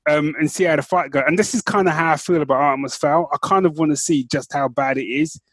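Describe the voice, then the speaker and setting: Higher-pitched man chatting casually. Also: quick pace, a bit bright, slightly rough, no echo. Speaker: podcast co-host. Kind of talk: casual chat about film and book news.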